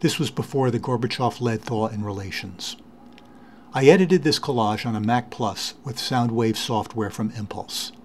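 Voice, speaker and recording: A man's voice: medium pitch, moderate pace, a little mellow, slight background noise. A man giving a spoken introduction as the creator of an audio collage.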